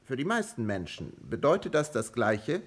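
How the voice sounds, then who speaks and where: Blunt, emphatic official. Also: male voice, medium pitch, wildly swooping pitch, normal speed. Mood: resigned, reasoning. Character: agitated, blunt government man among scientists. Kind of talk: 1950s German radio drama acting.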